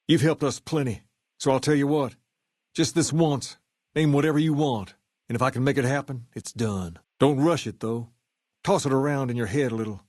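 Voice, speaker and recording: A man's voice: mid-range voice, a little mellow, no room sound, natural voice. Man acting a folksy American gang boss, dry studio sound. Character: male gang leader, folksy, courteous, easygoing but firm. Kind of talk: video game character dialogue.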